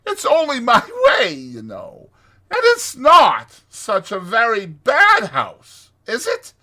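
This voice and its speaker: A man voicing a boastful, excitable toad in a dramatized storybook reading, loud and gushing. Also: extremely high pitch, slow pace, pitched above the performer's own voice, heavily acted. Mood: boisterous, boastful.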